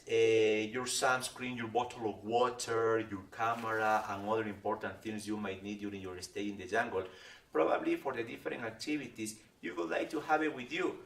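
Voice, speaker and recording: A man's voice: medium pitch, creaky, a bit bright. A middle-aged man from Ecuador, a native Spanish speaker with an Ecuadorian accent, giving friendly travel-packing advice to camera and often checking in with "okay?". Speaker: travel guide. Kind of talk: casual explainer to camera. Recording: roomy sound from a distance, faint ambient noise.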